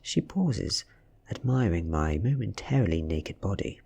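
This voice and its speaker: A man with a British accent softly reading a story as ASMR narration, breathy and near a whisper. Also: low voice, animated, a little slow. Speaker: audiobook reader.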